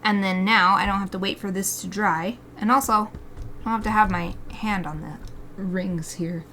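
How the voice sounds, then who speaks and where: A woman's voice: medium pitch, fairly even intonation, normal speed, a bit bright, a little hiss or hum. Giggly, chatty woman drawing on stream. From United States. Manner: chatty, giggly, talks to herself and reads chat aloud. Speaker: artist streaming live drawing. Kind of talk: casual chat while drawing live.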